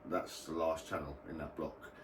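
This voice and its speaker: A man's voice: very deep voice, level tone, moderate pace. A British man giving a casual, rambling explainer as the host of a hobby video channel. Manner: informal, rambling. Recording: roomy, distant mic, faint background noise.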